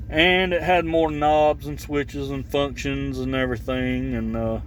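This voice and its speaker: Slow, rambling man over vehicle noise. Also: mid-range voice, fairly even intonation. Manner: halting, rambling, searching for words. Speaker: vlogger showing his CB radio. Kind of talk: casual unscripted product talk.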